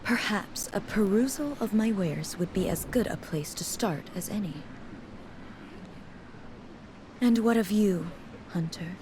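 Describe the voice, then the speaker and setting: A woman's voice: medium pitch, background noise, lightly acted. An actress playing a composed, stern, philosophical female merchant in a fantasy game, speaking formally. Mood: composed, advising. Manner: formal, measured, sententious. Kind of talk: video game cutscene acting.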